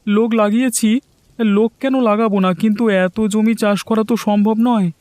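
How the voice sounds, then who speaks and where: A man's voice: high-pitched, flat delivery, fairly quick, a little mellow, no echo, lightly acted. A man voicing an earnest, worried young village farmer in a cartoon. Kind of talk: cartoon voice acting.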